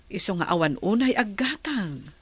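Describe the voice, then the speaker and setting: A woman's voice: medium pitch, sing-song, moderate pace, husky, dry recording. A woman with an Ilocano Filipino accent playing a patient village mother giving advice in a radio drama. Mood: patient, advising.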